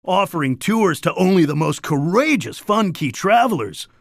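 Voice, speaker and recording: An actor playing a laid-back, boastful surfer dude creature in a cartoon game, talking in upbeat beach slang. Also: male voice, sits high, very animated, moderate pace, a bit bright, dry recording, studio quality, exaggerated voice. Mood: laid-back, storytelling. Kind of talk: animated game character dialogue.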